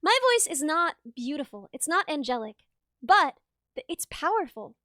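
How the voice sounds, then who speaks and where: High bright chatty young woman streamer. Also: big pitch swings, moderate pace, dry recording, studio quality. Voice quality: slightly rough, raw voice. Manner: rambling, chatty, laughs often, reads chat aloud. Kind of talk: casual chat to live viewers.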